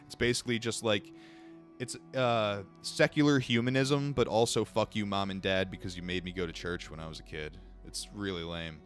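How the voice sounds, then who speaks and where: A man's voice: lowish voice, steady tone, moderate pace, soft background music. A young man reading a book aloud on a livestream, stumbling over words now and then and breaking off to answer chat in a casual, blunt, swearing way.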